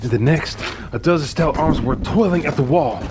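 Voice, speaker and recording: A man's voice: a little high, moderate pace, noisy background, natural voice. An actor playing a deranged, smug murderer who confesses his crime in formal, theatrical horror narration. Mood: frenzied terror. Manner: theatrical, formal, brooding, drops into hushed whispers. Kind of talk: dramatic horror narration of a classic gothic tale.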